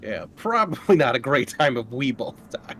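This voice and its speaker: A man's voice: mid-range voice, big pitch swings, moderate pace, a bit bright, a little hiss or hum. A man playing a tabletop game, chatting casually with joking, sweary banter.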